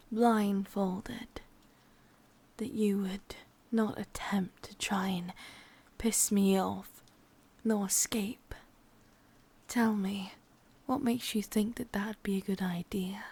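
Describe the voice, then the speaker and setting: A woman voicing a sadistic, possessive forest witch in an ASMR roleplay, with an English accent, half-whispering and teasing in drawn-out phrases. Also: mid-range voice, darkish tone, a little hiss or hum, natural voice. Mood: taunting, amused.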